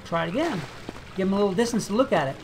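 A game video creator giving casual gameplay commentary, thinking aloud in a relaxed, chatty way. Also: mid-range voice, rapid-fire, background noise. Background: game music.

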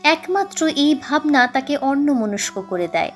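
A high-pitched woman reads a literary tale aloud. Her delivery is measured and dramatic, like an audiobook storyteller, with music behind her. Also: lively intonation. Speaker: audiobook narrator. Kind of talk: dramatic scripted story narration.

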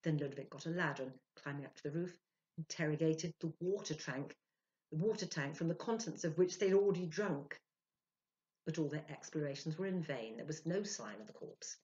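A woman in her sixties giving a theatrical, expressive dramatic reading of a story as a professional storyteller. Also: low-pitched, brisk. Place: small indoor room. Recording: dull lo-fi webcam sound.

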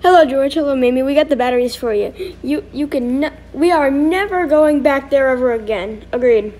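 A woman acting out lines as voiceover for an animated fan skit. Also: high voice, brisk, slight background noise, rough recording.

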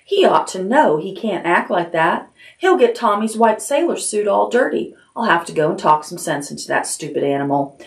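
A woman's voice: mid-range voice, animated, normal speed, vocal fry. A woman voicing a bold, cheeky, fearless little girl in a storybook, exclaiming with playful confidence. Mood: breezy, scolding. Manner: exclaiming, mischievous, breezily confident.

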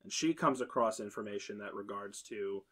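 Low, husky-voiced man chatting. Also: moderate pace, bright, forceful tone. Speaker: podcast co-host. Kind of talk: casual panel discussion.

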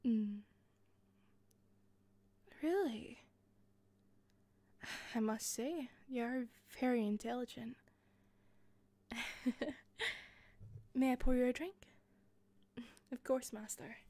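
A woman with a softened Scottish accent playing an attentive hostess in a roleplay, speaking softly into a close mic with frequent giggles and whispers. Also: fairly high pitch, drawn-out words, long pauses, dry recording. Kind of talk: listener-directed roleplay.

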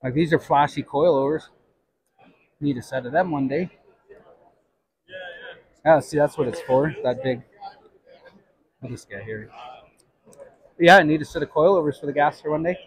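Husky middle-aged man, casually chatting. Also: a little high, normal speed, long pauses, no room sound, rough recording. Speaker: vlogger shopping at a swap meet. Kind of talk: casual chat. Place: large busy indoor swap meet hall.

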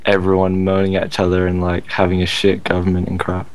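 A man's voice: low voice, monotone, fast talker, clear, resonant tone. A man in a casual group voice call, arguing back and pushing his own view, heard through a computer mic. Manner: argumentative, pushes back on others. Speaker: Skype call participant.

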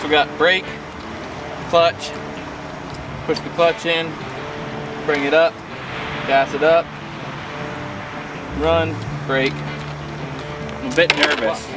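An easygoing young man with a high voice talks loosely, fillers and all, as he walks a camera through his motorcycle project outdoors. The camera mic picks him up over loud engine and traffic noise. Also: fairly even intonation, slow, pauses often. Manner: easygoing, joking, thinks aloud with fillers. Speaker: vlogger host. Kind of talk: casual vlog chat. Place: outdoors by a garage and gravel driveway.

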